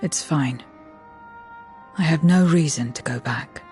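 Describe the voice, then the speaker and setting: A woman playing a blunt, world-weary void being in a game cutscene, terse and deadpan. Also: deep voice, slow pace. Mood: cool, warning. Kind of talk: video game cutscene acting. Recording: music behind.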